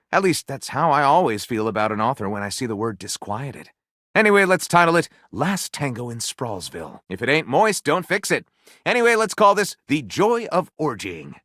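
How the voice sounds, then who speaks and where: A man voicing a flamboyant, excitable male literary critic in a video game, with dramatic, playful delivery and grand announcements. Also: fairly high pitch, no echo, studio quality. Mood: theatrical, wry. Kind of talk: theatrical scripted game dialogue.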